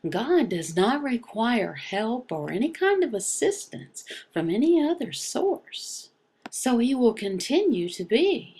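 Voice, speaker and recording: Female religious explainer: slow, gravelly, emphatic and halting, with short repeated phrases. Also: fairly high pitch, sing-song, a little mellow. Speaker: teacher voicing a signed religious lesson. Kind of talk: slow explanatory religious teaching, question and answer.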